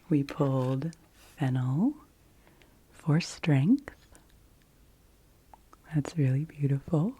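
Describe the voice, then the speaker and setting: Hushed, slow-whispering ASMR woman. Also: low-pitched, breathy edge. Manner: slow, gentle, soothing delivery with frequent whispering. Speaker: ASMR video host. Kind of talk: soft-spoken and whispered ASMR.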